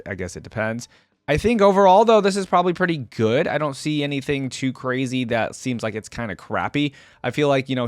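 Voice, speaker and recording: A man's voice: medium pitch, dry recording, clean studio sound. A man giving casual reaction commentary, thinking aloud in a tentative way and sometimes reading text out. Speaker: reaction video host.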